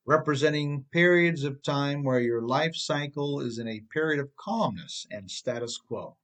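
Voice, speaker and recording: Man narrating a self-help audiobook. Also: mid-range voice. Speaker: author reading own book, narrator.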